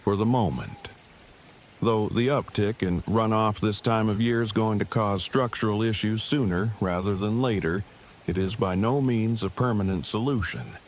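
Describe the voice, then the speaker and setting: A man acts out a bookish survivor in an audio drama with a low voice. He is earnest and socially stiff, with long, precise, formal phrasing. The recording has clear background noise. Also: lightly acted. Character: earnest, awkward, bookish man in a post-apocalyptic survivor camp. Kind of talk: fan audio drama acting.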